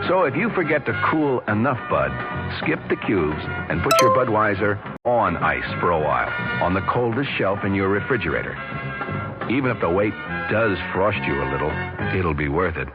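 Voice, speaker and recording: A man reading a vintage radio commercial, smooth and persuasive. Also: fairly low pitch, lively intonation, moderate pace. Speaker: commercial announcer. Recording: dull old radio ad with loud music.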